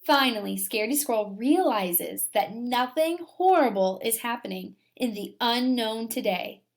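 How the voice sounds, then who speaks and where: A woman teacher reading a children's story aloud with dramatic emphasis and talking directly to her young pupils. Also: fairly high pitch. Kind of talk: expressive children's story read-aloud and lesson.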